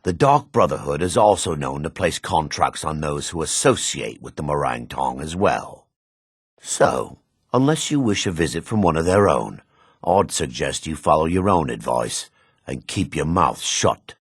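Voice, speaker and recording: An actor playing a gruff, haughty dark elf rogue in a video game, with a gravelly, raspy voice and a curt, disdainful, suspicious way of talking. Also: male voice, extremely low pitch, big pitch swings, a little slow, no room sound, studio quality, heavily acted. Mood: grave, guarded. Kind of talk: video game character dialogue.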